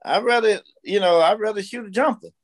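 A man's voice: high-pitched, fairly quick. An American man in his fifties telling stories casually, blunt and opinionated, repeating himself for emphasis. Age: 50s. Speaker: podcast guest. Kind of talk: casual storytelling conversation.

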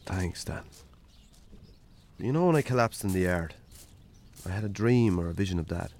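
An actor playing a weary, wry Irish farmer in a naturalistic radio drama. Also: male voice, sits low, lively intonation, moderate pace, a little mellow. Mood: reflective, subdued.

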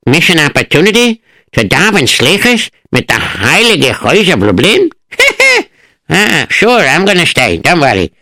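A man's voice: on the high side, huge pitch swings, measured pace, vocal fry, sharp tone, pitched above the performer's own voice, heavily acted. Man putting on a fretful farmer. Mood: cheerful. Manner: fretful, sing-song, wailing. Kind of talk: storytelling.

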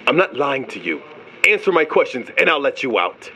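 A man's voice: mid-range voice, sing-song, a little fast, sharp tone, a little hiss or hum, low-quality recording. An actor playing a stern ship's investigator in an audio drama, firm, commanding and skeptical as he questions a suspect. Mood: firm, probing. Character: stern, authoritative male ship's investigator running an interrogation.

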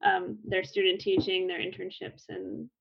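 A woman's voice: mid-range voice, normal speed, vocal fry, slightly rough. Woman, thirties, casual talk, filler words, muffled recording. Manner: relaxed, with frequent fillers and restarts. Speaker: Spanish instructor. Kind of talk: casual conversational explanation.

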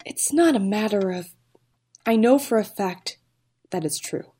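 Audio drama mother, female actor: grieving and haunted, dark mellow tone, fragile, wistful delivery. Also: medium pitch, expressive, a little slow, breathy edge. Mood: insistent. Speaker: actress in an audio play.

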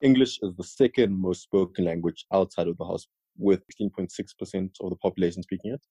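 A man's voice: deep voice, fast talker, dark tone, husky, dry recording. A young South African man co-hosting a podcast, chatting casually and joking with his co-host.

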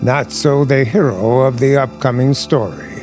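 A man announcing a 1950s radio drama in a measured, solemn, dramatic style. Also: mid-range voice, darkish tone. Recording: vintage 1950s radio broadcast. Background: dramatic music.

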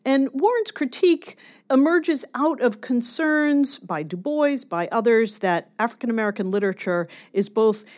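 A woman's voice: a little high, lively intonation, moderate pace, darkish tone. A woman scholar being interviewed, explaining ideas in an academic but conversational and emphatic way.

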